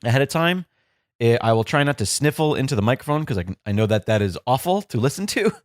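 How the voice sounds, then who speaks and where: A playful young man hosting a podcast from home, his voice a little stuffed up and nasal. His pitch swings lively from line to line as he jokes with his co-host. The sound is close and dry, with no room in it. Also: quick pace, dry recording. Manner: playful, joking, animated. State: congested and nasal. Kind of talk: casual podcast chat. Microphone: studio microphone.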